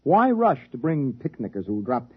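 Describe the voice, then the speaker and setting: An American actor playing a flippant, needling military biologist in a 1950s science fiction radio drama. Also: male voice, medium pitch, very animated, moderate pace, husky. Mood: dry, stubborn. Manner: teasing, sardonic. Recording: dull lo-fi 1950s radio recording.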